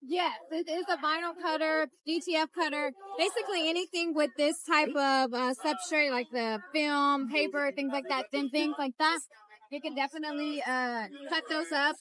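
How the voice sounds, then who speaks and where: A woman in her thirties hosting a vlog at a trade expo, giving an upbeat product demo pitch and repeating phrases for emphasis, filmed on a phone at arm's length. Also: steady tone, moderate pace, fairly bright tone. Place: busy indoor trade expo floor. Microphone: phone held at arm's length.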